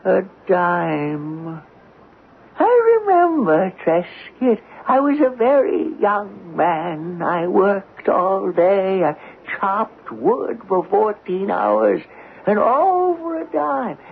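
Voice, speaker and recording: Creaky, very slow ancient rich man. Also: high-pitched, sing-song, heavily acted. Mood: wistful, fretful. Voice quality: creaky, quavering old voice. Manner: halting, querulous, drawn-out speech. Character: incredibly old, frail, morose male billionaire. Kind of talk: old-time radio drama acting. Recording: lo-fi narrow-band radio broadcast.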